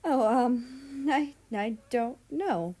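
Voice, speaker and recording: A shy young male student in a read-aloud story, played by a woman. He is hesitant and flustered, defensive in his denials, with a light, raised pitch. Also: drawn-out words, dark tone, exaggerated voice.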